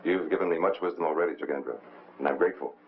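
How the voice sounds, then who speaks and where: An actor playing a suave, bold, wisecracking detective in a 1950s film, with a smooth and flippant manner. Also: male voice, a bass voice, very fast, a little hiss or hum. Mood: wry, confident. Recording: muffled old film soundtrack, loud music.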